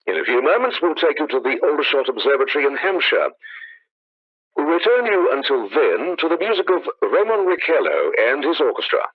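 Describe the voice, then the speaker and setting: A man acting a formal, measured 1930s radio news announcer in a radio play. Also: a little high, very animated. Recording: dull lo-fi radio sound.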